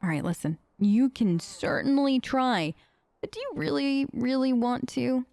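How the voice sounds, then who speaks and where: A woman's voice: high-pitched, measured pace, gravelly, darkish tone, no echo. A woman playing a video game and chatting casually in character, in a gushing, playful manner. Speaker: let's play host, role-playing her character.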